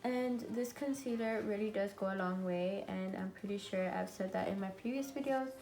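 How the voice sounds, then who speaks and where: A young woman with a raspy, congested voice from a cold, chatting bubbly through a makeup tutorial at home. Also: medium pitch, moderate pace, darkish tone, faint music behind. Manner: chatty and bubbly, many fillers. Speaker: beauty vlogger. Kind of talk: casual makeup tutorial chat.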